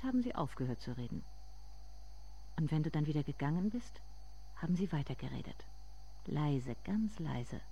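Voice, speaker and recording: An actress playing a somber narrator in a literary radio drama, speaking in measured, deliberate phrases. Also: female voice, lowish voice, warm tone, highs cut off. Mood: quiet, reflective.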